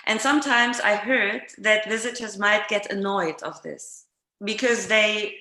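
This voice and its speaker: A woman interviewer explaining reflectively in conversation, hesitant and often pausing mid-sentence, recorded with roomy echo. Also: mid-range voice, pushed and bright. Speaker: interviewer in an art dialogue. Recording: roomy, echoing sound.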